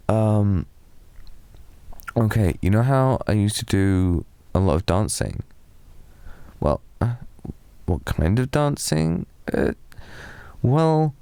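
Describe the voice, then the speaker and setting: A young British man improvising a sleepy boyfriend ASMR roleplay, softly rambling and slipping into whispers. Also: lowish voice, creaky, clean tone, no room sound. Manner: soft, drowsy, rambling with slips into whispers. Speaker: ASMR performer.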